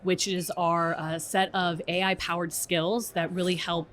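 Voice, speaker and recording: A woman with a fairly low voice talks as an interview guest. She explains her points conversationally, like an expert walking a colleague through them, and often tags on a checking "right?". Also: flat delivery, unhurried, a bit bright. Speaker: interview guest, strategy director at a software company.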